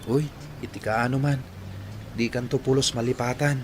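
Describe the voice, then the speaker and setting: A man's voice: medium pitch, fairly even intonation, normal speed, background noise, lightly acted. An actor playing an earnest, dutiful young village man in a radio drama. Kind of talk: Philippine radio drama acting.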